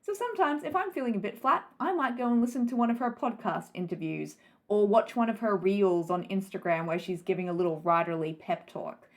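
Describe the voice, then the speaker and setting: A woman's voice: mid-range voice, brisk. A woman from Australia, a writing coach in her thirties, answering viewers' writing questions in an encouraging, conversational way. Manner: warm encouraging, rhetorical questions. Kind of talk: Q&A advice talk to camera.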